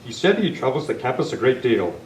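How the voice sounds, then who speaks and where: An upright masked western hero, read by a man in old-time radio style. His mid-pitched delivery is formal and earnest. It sounds distant in an echoey room with faint noise. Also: very animated, a little fast, lightly acted. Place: club meeting room, live presentation.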